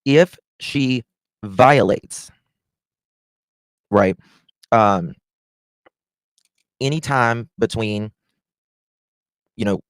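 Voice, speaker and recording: A man giving casual livestream commentary as host, chatty and halting, repeating himself for emphasis. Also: on the low side, clear, resonant tone, no echo. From Southern United States.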